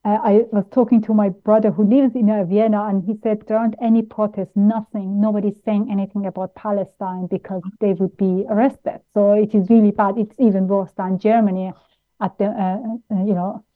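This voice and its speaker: A woman from Iran, raised in Austria, speaking as an interview guest in a halting way with many fillers and restarts. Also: mid-range voice, flat delivery. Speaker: guest, plant-based nutritionist. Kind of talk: interview conversation. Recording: tinny phone-like line.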